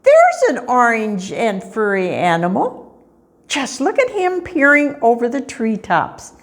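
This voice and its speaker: A woman's voice: on the high side, huge pitch swings, measured pace, pitched above the performer's own voice, exaggerated voice. An older woman voicing a little male kitten in a children's storybook, eager, curious and easily impressed. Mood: curious, amazed. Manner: eager, questioning.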